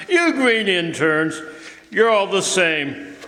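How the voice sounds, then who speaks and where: An actor playing a gruff, cynical veteran ambulance driver in a radio drama, brash, slangy and impatient. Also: male voice, sits high, very animated, drawn-out words, bright, forceful tone, exaggerated voice. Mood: brash, mocking. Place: live stage performance in a hall. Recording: roomy echoey live hall, noisy, clicks.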